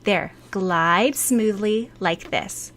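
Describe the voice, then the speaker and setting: A woman voicing a cheerful, caring and excitable teenage schoolgirl in an expressive audiobook reading. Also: medium pitch, drawn-out words. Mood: encouraging. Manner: exclaiming, expressive.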